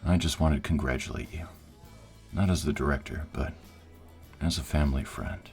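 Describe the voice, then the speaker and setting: A dignified older director played by a male voice actor speaks with formal, measured kindness. His voice is extremely deep, the sound of a supportive authority figure in an audio roleplay, with faint music beneath. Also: steady tone, a little mellow. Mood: sincere, kindly.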